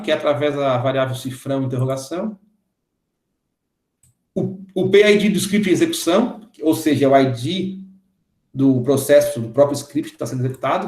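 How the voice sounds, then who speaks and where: A man's voice: fairly high pitch, a little mellow, roomy sound. A man in his thirties teaching a coding lesson, walking through it step by step and often checking in with the class. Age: 30s. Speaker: programming instructor. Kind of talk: screen-recorded coding lesson.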